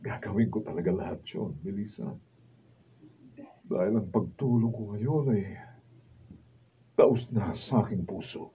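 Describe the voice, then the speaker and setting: A man's voice: fairly low pitch, a little slow, long pauses, dark tone, natural voice. An actor with a Filipino accent playing a proud, well-off husband and father who has been humbled, in a radio drama. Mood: remorseful, earnest. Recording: dull, noisy, distant studio camera recording.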